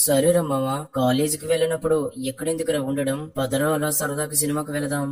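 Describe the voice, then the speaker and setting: A woman's voice: low-pitched, little pitch movement, fast, darkish tone, close to an everyday voice. A woman voicing a teasing young male college student in a cartoon story. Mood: puzzled, teasing.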